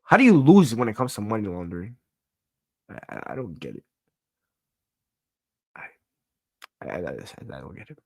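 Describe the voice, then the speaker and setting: A man's voice: on the low side, huge pitch swings, normal speed, vocal fry, pauses often, warm tone, breathy edge. Young man, solo sports talk host, ranting, exasperated, stammering repeats, casual swearing. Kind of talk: casual venting monologue.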